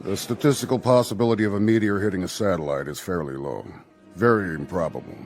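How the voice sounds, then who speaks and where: Stern general, low pitch, formal and deliberate delivery, radio drama, faint music behind. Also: male voice, a little mellow. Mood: firm, dismissive. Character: stern, measured male Air Force general in authority.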